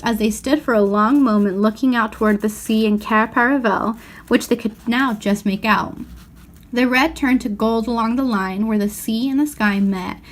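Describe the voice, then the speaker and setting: A woman with a slightly high voice narrates a children's novel. She reads expressively, as a storybook reader.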